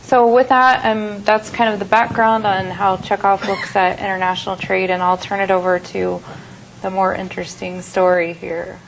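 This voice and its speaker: An American woman gives a businesslike presentation at a trade expo. Her delivery stays quite flat in pitch and she pauses often on fillers. Faint background noise sits behind her. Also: vocal fry, low-quality recording. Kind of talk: conference presentation. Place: conference hall at a trade expo.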